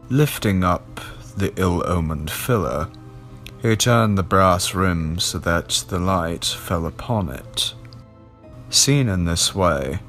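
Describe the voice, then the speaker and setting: Deep, gravelly male horror narrator. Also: a bit bright. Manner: deliberate, dramatic. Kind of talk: scripted dramatic narration of a classic horror story.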